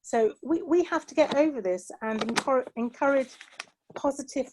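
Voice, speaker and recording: A woman's voice: high-pitched, normal speed, noisy background. A middle-aged woman with a British accent, sharing personal reflections in an earnest, heartfelt way and often saying "you know" as she talks. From England. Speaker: panel guest.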